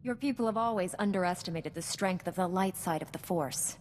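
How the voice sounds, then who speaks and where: An actress playing a young Jedi knight in a game, speaking in an earnest, composed and formal way. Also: female voice, fairly low pitch, a little fast, darkish tone, slight background noise, close to an everyday voice. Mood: calm, probing. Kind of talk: dramatic game cutscene acting. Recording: long reverberant hall.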